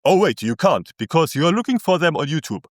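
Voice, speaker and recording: A man with a thick German accent ranting through scripted commentary, scornful and sarcastic. His voice sits fairly high and swings widely in pitch for emphasis. The sound is close and dry. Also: big pitch swings, fast, no echo.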